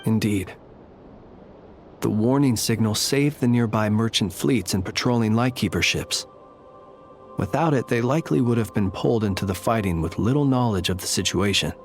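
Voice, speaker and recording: An actor playing a solemn, courteous graveyard lightkeeper in a video game, speaking in a formal, measured, old-fashioned way. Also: male voice, fairly low pitch, soft background music, natural voice. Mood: solemn, thoughtful. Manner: formal, measured, archaic diction.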